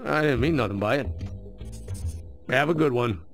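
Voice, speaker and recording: An actor playing a dim, good-natured guard with a gravelly voice in a comic video game. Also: male voice, medium pitch, moderate pace, faint music behind, lightly acted. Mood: puzzled, apologetic.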